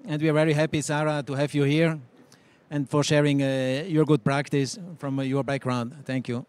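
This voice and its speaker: A man's voice: a little high, little pitch movement, measured pace, darkish tone, smooth. A man moderating a conference panel, giving short, upbeat introductions.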